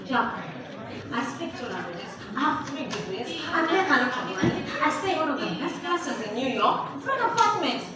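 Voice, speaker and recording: A woman's voice: high-pitched, very animated, normal speed, crowd noise. An actress playing an exasperated wife on a live stage, sarcastic and mocking toward her husband. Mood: boastful. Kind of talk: live stage play acting. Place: theatre stage. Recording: echoey hall, far mic, audience noise.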